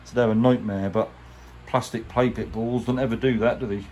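A man's voice: medium pitch, steady tone, fairly quick, a little mellow. A man with an English accent giving a chatty, colloquial hands-on tutorial in a casual, plain-spoken way. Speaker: boat restorer presenting a how-to. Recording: clear background noise, handheld workshop recording.

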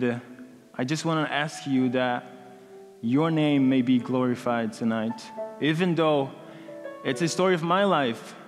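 A young man in his twenties with a Brazilian accent, a native Portuguese speaker, giving a personal testimony to a congregation in an earnest, halting way with many fillers, on a headset mic in a large church hall. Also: medium pitch. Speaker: student speaker.

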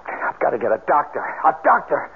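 An actor playing a scarred, lovestruck recluse in a 1970s radio drama, earnest, halting and emotional. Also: male voice, fairly high pitch, sing-song, loud music behind the voice. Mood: urgent, worried. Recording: tinny, noisy old radio broadcast.

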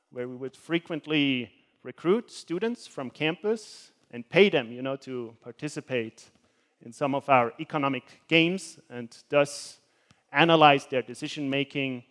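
Young Swiss man lecturing with fillers. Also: on the high side. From Switzerland. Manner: hesitant, many filler sounds; gestures while speaking, walks around the stage. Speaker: guest lecturer. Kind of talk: academic talk with slides. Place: university lecture hall stage.

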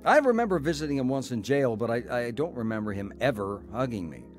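A man's voice: medium pitch, sing-song, a little fast, soft background music, lightly acted. An actor playing a wounded man who plainly and reflectively recalls his troubled farm boyhood in a radio drama testimony. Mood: reflective, hurt.

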